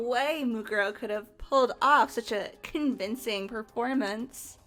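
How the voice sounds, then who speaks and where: A high, very unhurried teen girl mastermind played by a woman, flippant and mocking. She drawls her callous game dialogue over background music. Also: a bit bright. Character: gleefully callous female teen mastermind of despair. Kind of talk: amateur voice acting of a video game.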